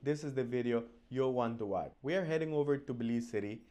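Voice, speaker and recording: A man's voice: mid-range voice, quick pace, mellow. A man speaking casually as a video host and interviewer.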